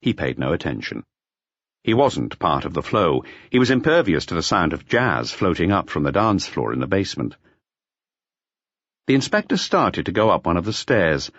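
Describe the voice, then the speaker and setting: A man narrating a mystery novel as an audiobook, with measured, expressive storytelling. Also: deep voice, slightly rough, no echo, dull audio.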